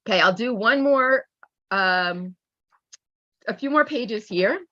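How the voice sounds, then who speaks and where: Bright, animated middle-aged woman teaching, dull recording. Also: a little high, takes it slowly. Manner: expressive, encouraging, emphatic. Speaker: teacher trainer and read-aloud presenter. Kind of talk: teaching webinar with animated picture-book reading. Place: at home on an online video call. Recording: dull, lo-fi online call audio.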